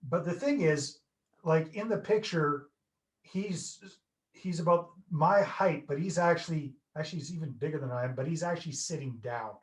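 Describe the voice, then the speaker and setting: A chatty Canadian man in his fifties tells stories with a joking, self-deprecating ease. His voice sits a little high for a man. He is talking over a video call. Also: normal speed. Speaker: guest. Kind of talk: casual interview chat.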